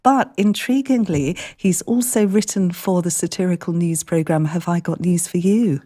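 A woman news journalist on the radio with a low-pitched voice. She talks through stories conversationally, chatting and explaining as she goes. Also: normal speed, warm tone. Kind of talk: conversational news briefing with presenter.

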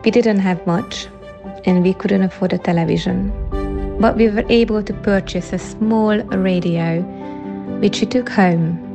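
A woman's voice: medium pitch, measured pace, creaky, over music. A woman from Hungary giving an earnest, reflective personal testimony.

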